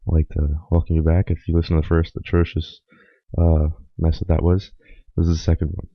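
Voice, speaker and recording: A young man hosting a casual solo podcast, hoarse and raspy from a cold, rambling with lots of ums. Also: deep voice, level tone, brisk, no echo, lo-fi sound. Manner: rambling, with many ums and uhs. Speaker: podcast host.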